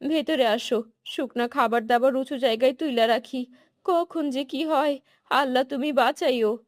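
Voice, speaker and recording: A woman voices a devout village mother in a cartoon. She sounds homely and reassuring, high in pitch, with a rural Bangladeshi accent in a dry recording. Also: normal speed, no room sound, close to an everyday voice. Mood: worried, practical. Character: gentle devout village mother, soothing and practical.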